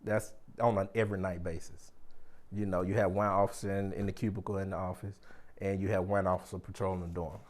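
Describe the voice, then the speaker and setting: Man, lowish voice, former officer, candid interview talk, colloquial, emphatic. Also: flat delivery, quick pace.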